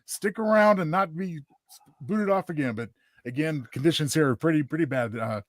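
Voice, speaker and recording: A man's voice: a little high, normal speed, no echo. A man chatting casually and jokingly as a panelist on a show.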